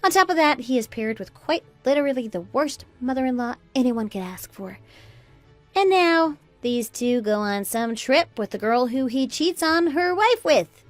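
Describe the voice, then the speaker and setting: A woman's voice: high-pitched, lively intonation, moderate pace, soft background music. A woman playing a fed-up, sarcastic daughter-in-law in a melodramatic radio drama. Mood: scornful, indignant. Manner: sarcastic, taunting. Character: scornful, fed-up adult daughter-in-law, Anna, defiant and mocking. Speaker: voice actor in an online radio drama.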